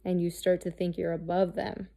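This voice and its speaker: A woman in her thirties, talking candidly and haltingly about herself, restarting often and swearing freely. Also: on the low side, flat delivery, warm tone. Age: 30s. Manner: halting, searching, with many restarts and fillers. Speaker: host. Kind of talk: candid personal monologue.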